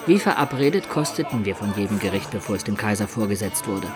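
An actor playing a storyteller who recounts his medieval adventures as measured radio play narration. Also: lowish voice, lively intonation, over music, crowd noise, lightly acted. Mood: recounting.

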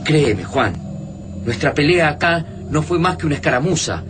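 A man's voice: a little high, expressive, moderate pace, close to an everyday voice. An Argentine actor in a radio drama playing a resolute resistance comrade, earnest and insistent as he persuades. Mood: earnest, persuasive. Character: Fava, resolute persuasive male comrade in alien-war resistance. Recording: clearly noisy radio drama ambience.

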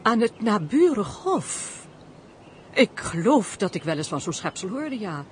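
A woman acting a lively, compassionate countess in a Dutch radio play, with formal, expressive, theatrical speech. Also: mid-range voice, unhurried. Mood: shocked, compassionate. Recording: noisy old radio play recording.

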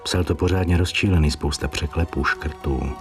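Deep-voiced, musing writer. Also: male voice, fairly even intonation. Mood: curious, wry. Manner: muttering, musing, reading in fragments with asides. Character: wry, curious male writer, musing to himself over a letter. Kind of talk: radio drama monologue. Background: faint music.

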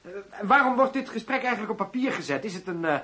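Guarded, higher-voiced police inspector. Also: male voice, animated, sharp tone. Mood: guarded, defensive. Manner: defensive, halting. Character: guarded, loyal male police inspector under questioning. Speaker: actor in a radio play. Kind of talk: Dutch radio drama dialogue.

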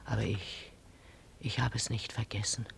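A woman playing a vengeful, hard older mother in a radio play, intense and commanding, in a hoarse, breathy half-whisper. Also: extremely low pitch, fairly even intonation, moderate pace, heavily acted. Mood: bitter fury.

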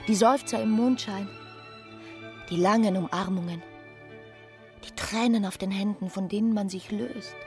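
An actress playing a dreamy, discontented young doctor's wife in a literary radio drama, husky and breathy, intimate, sometimes slipping into whispers. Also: female voice, mid-range voice, measured pace, long pauses, faint music behind, natural voice. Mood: wistful longing.

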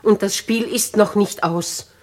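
Husky woman acting an aristocratic old lady, stately, grave, resolute, vintage German radio drama. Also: medium pitch, lightly acted. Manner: grave, measured, stately delivery. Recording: vintage 1950s radio play recording.

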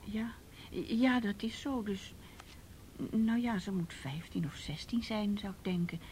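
A woman acting in a radio play as a timid, flustered, chatty neighbourhood lady with a hesitant, confiding, breathy delivery. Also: medium pitch, unhurried, darkish tone, slight background noise, natural voice. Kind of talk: naturalistic Dutch radio play dialogue.

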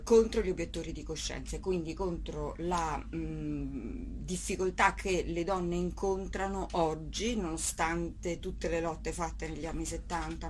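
A woman being interviewed, explaining things in a conversational way. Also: fairly low pitch. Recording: clearly noticeable background noise.

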